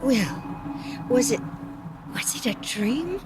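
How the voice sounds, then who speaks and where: A woman's voice: on the high side, lively intonation, slow. A woman playing a stubborn, plain-spoken old country wife in an audio drama, wry and defiant, with music behind her. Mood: dazed, worried.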